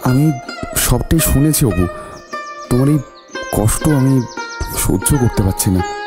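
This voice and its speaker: An earnest young village friend in a cartoon drama, acted out by a performer. He is caring and insistent, speaking in short halting phrases over clear background music. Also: mid-range voice, expressive, lightly acted. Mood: concerned.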